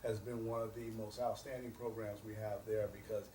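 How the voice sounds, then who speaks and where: A man's voice: on the low side, little pitch movement, fairly quick, mellow. A man being interviewed as a company representative, explaining things earnestly and promotionally and repeating his key points. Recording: roomy echo, distant mic, faint noise.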